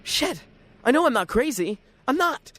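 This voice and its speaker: A performer voicing an excitable, jittery library regular who tells the story in dramatic, self-questioning first person, as in a radio drama. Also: high-pitched, moderate pace, noisy background, pitched above the performer's own voice. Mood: agitated. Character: jittery, excitable library regular narrating his own strange chase.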